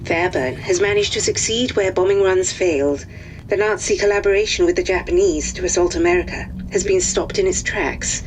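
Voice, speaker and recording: A woman reading a scripted mission briefing, crisp and matter-of-fact. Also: lowish voice, brisk, noisy background. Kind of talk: scripted narration.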